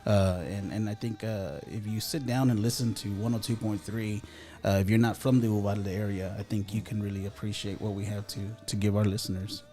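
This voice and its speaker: A man, chatting casually as a radio station guest, relaxed and often laughing, dropping in Spanish words. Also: on the low side, a little fast, soft background music. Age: 30s. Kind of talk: casual studio chat.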